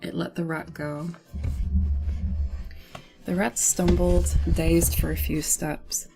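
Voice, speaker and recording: A woman's voice: lowish voice, level tone, slow, gravelly, music in the background. A woman streamer reading a novel aloud as storybook narration, with casual asides.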